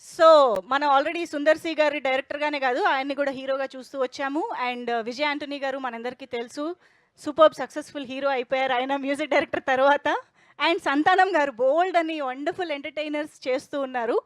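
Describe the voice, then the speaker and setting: Woman, high pitch, interview host, conversational questions, dry sound. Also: steady tone, fast talker, dry recording. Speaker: host of a film promotion interview.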